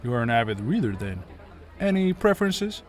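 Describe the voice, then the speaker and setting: Man acting a lively, genial small-town mayor. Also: medium pitch, brisk, a faint crowd, natural voice. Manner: folksy, polite, conciliatory. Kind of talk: audio drama acting.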